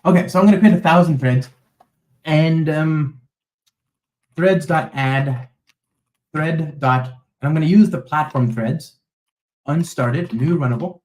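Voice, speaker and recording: A man's voice: on the high side, measured pace, pauses often, darkish tone, reverberant, low-quality recording. A man hosting a live coding stream, rambling through the code with lots of fillers and checks like "okay?" and "right?". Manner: talks to a live chat while typing code. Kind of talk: live coding walkthrough, casual and chatty.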